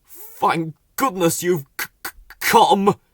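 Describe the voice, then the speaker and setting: A man's voice: sits high, takes it slowly, fairly bright tone, dry recording, voice pushed up, full character voice. A man voicing a miserable, freezing storybook creature who moans and stammers through chattering teeth. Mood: freezing, pleading.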